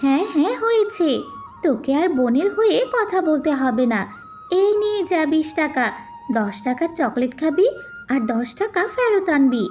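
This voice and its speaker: A woman's voice: high voice, big pitch swings, moderate pace, a little mellow, faint music behind. A woman voicing a poor, careworn widowed mother jackal with a scolding, exasperated manner in a cartoon. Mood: exasperated, relenting.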